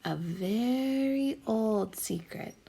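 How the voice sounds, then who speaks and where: A woman voicing a loving, patient mother raccoon in a storybook, speaking in a gentle, soothing way. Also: a little high, animated, very slow, gravelly, husky, full character voice. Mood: secretive, tender. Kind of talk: storybook character voice.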